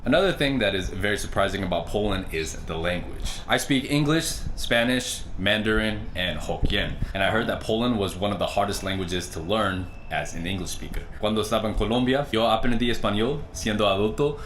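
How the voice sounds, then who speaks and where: A man's voice: fairly low pitch, a little fast, hardly any pauses, a bit bright, a little hiss or hum. A young American man from Los Angeles talking casually to camera about life abroad in an easygoing, earnest way. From Los Angeles, USA. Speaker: vlogger.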